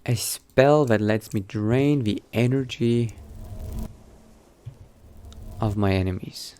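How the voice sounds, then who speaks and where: A man playing a newly turned vampire mage in a game roleplay, musing to himself in a halting, politely understated way. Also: fairly low pitch, dark tone, a little hiss or hum. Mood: thoughtful. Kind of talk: in-character video game roleplay narration.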